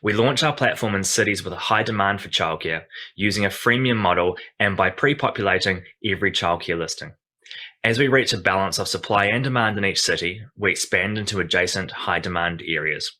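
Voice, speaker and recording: Bright-voiced New man pitching. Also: brisk. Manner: polished, confident delivery. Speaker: startup founder. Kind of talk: scripted startup pitch.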